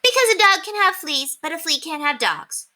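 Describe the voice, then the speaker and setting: A woman's voice: very high-pitched, sing-song, moderate pace, bright, forceful tone, pitched above the performer's own voice, heavily acted. A woman voicing a mischievous, pestering kindergarten girl from a children's storybook, in a singsong manner. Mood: mischievous, playful.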